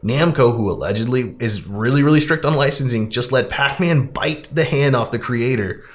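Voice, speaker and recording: A man's voice: mid-range voice, moderate pace. Guy hosting a podcast, rambles and stops and starts through a film recap. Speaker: podcast host. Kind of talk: casual chat, recapping a film.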